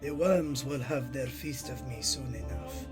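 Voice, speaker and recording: A man's voice: mid-range voice, a little slow, close to an everyday voice. A man playing a frail, dying old Italian master painter in an audio drama, weary, wry and theatrical. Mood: weary, tender. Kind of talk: scripted audio drama dialogue. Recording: music behind the voice.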